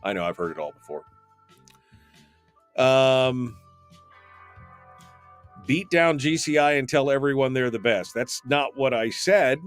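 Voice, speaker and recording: A man's voice: medium pitch, animated, a little slow, pauses often, smooth, faint music behind. A man hosting a talk radio show, reading listener comments aloud and answering them with opinionated, rambling remarks full of ums and restarts.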